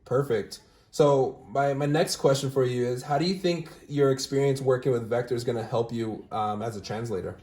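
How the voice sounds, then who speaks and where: Casual young male recruiter, upbeat tutorial delivery, lots of "all right?", mid pitch, echoey room. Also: moderate pace, a little mellow. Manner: upbeat, filler-heavy, says "all right?" often. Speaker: recruiting manager demonstrating callbacks. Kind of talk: casual screen-share walkthrough, then phone interview. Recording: roomy echo.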